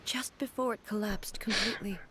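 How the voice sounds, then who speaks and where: A woman voicing a solemn, reserved female ruler in a video game, speaking slowly and softly in a measured way. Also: mid-range voice, steady tone, natural voice. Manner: slow, measured, soft-spoken.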